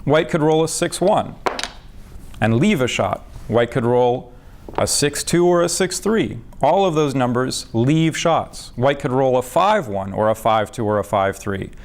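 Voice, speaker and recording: A man's voice: mid-range voice, lively intonation, a little slow, slight background noise. A man teaching backgammon, walking step by step through a position from one of his own matches. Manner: methodical, patient, with fillers. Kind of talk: explanatory game lesson.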